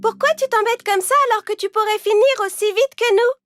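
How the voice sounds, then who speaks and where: A woman's voice: extremely high pitch, brisk, pitched above the performer's own voice. A woman voicing a carefree, lazy young pig with a teasing, questioning manner in a children's story. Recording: music behind the voice.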